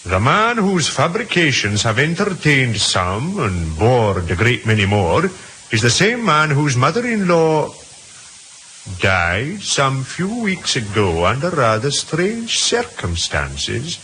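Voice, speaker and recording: A male actor playing a dutiful, dry police detective in an old radio drama, speaking crisply and with deference. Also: medium pitch, huge pitch swings, takes it slowly, bright, forceful tone, heavily acted, low-quality recording. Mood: dry, puzzled. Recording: hissy old radio recording.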